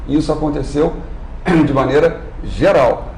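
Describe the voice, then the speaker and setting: Man, gravelly voice, formal, measured, clears throat often, roomy sound. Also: fairly high pitch, a little mellow. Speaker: industry training institute executive. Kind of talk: live TV interview. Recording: roomy with faint noise.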